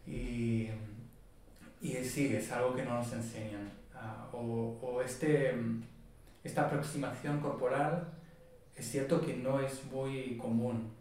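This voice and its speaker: A calm, measured man speaks as a therapist guest on an interview show, explaining slowly and carefully. His voice sits at a middle pitch. The sound is distant and very echoey, like a mic set far away in a bare room. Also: slight background noise. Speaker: body psychotherapist guest.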